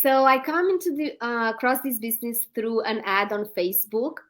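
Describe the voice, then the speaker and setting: A woman's voice: a little high, moderate pace. A woman in her thirties from Romania, a guest in a live online interview, talking earnestly with many ums and restarts.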